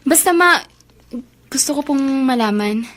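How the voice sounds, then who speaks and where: Lovestruck young Filipino daughter, fairly high girlish voice, polite, hesitant, affectionate, radio drama style. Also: lively intonation, measured pace, fairly bright tone. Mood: shy, curious.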